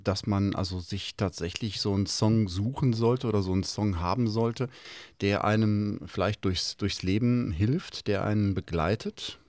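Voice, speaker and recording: A man's voice: fairly low pitch, normal speed, darkish tone, dry recording. A man co-hosting a podcast interview who asks questions and makes jokes. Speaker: podcast co-host. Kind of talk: casual interview.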